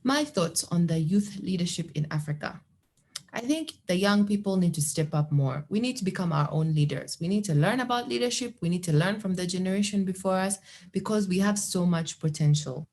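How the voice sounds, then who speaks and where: Ugandan woman, lowish voice, firm n encouraging. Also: animated, fairly quick, darkish tone, slight background noise.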